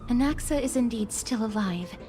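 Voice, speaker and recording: A woman voicing a gentle, polite, caring young woman in an anime-style video game, soft-spoken and formal. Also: fairly high pitch, expressive, moderate pace, natural voice. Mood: reassured, soft. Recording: game music behind the voice. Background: game music.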